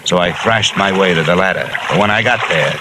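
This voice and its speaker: A man's voice: low-pitched, brisk, very noisy, close to an everyday voice. An actor playing a hard-boiled male insurance investigator narrating a 1950s radio drama in clipped sentences. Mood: terse. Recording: old radio transcription with music.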